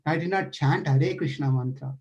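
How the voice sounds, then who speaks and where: A man's voice: fairly high pitch, normal speed, darkish tone, lo-fi sound, pitched below the performer's own voice, lightly acted. A man voicing a frightened, hot-tempered old sage who pleads for help, in a devotional story. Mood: fearful, pleading. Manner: pleading, fearful.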